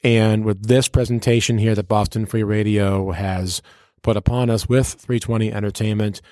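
A man's voice: on the low side, fairly even intonation, moderate pace, a little mellow, no room sound. Playful showman radio guy, dry studio sound. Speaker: radio personality and DJ, interview guest. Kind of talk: casual slangy interview chat.

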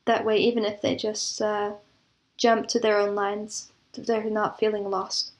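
A woman's voice: medium pitch, flat delivery, a little slow. A woman speaking conversationally as an interview guest, explaining her creative work in earnest detail. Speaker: interview guest, audio drama producer and composer.

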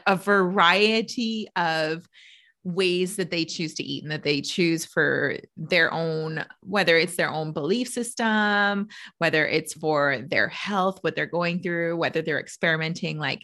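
A woman hosting a casual podcast, her voice sitting a little low for a woman. She talks loosely and warmly with her guest, full of "like" and quick laughs. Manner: chatty, laughs often, many "like" fillers. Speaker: podcast host, trainer.

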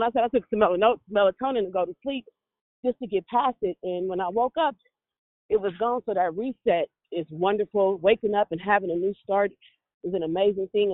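A woman's voice: medium pitch, a little fast, no echo. A woman giving a grateful testimony on a church prayer call. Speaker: caller. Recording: phone line.

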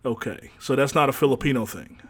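A man hosting a casual dating talk show, relaxed and joking, with a drawl. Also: medium pitch, fast talker, slightly rough. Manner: easygoing, joking, drawls y'all. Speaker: host.

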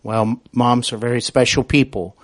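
A man's voice: medium pitch, flat delivery, moderate pace. A man hosting a podcast interview in a conversational way, agreeing often and phrasing his thoughts carefully.

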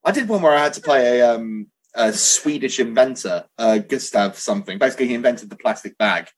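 Man, actor guest, husky voice, rambling, self-mocking anecdote. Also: mid-range voice, animated, fairly quick, rough recording. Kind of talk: comic anecdote.

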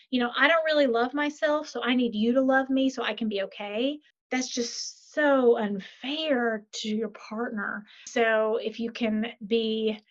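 An upbeat woman talks through a muffled, lo-fi line, her voice sitting a little high. She chats in an animated way and keeps checking in with "right?". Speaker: podcast guest, author. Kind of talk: casual interview chat. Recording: lo-fi muffled sound.

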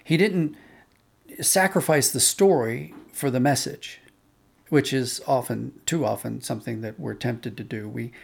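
A man's voice: mid-range voice, unhurried, gravelly, slightly rough. A man talking thoughtfully as an interview guest, explaining with emphasis on key words. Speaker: interview guest, audio drama producer.

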